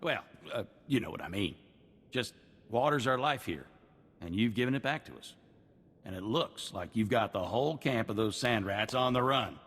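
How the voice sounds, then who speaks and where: A man's voice: medium pitch, animated, moderate pace, fairly bright tone, husky, in a large hall. A man voicing a folksy, grumbling but grateful frontier farmer in a video game. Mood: awkwardly grateful. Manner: folksy rural speech, drops endings.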